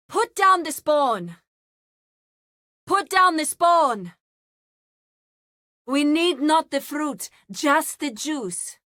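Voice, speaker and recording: A woman playing a solemn, zealous nature priestess in a fantasy video game, speaking in a formal, deliberate, theatrical way. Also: high voice, pushed and bright, dry recording, studio quality, exaggerated voice.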